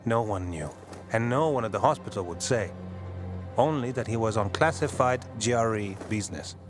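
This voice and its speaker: Actor as an earnest, curious young son. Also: male voice, fairly low pitch, lively intonation, moderate pace, lightly acted. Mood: sombre, earnest. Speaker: video game character. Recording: game music behind the voice.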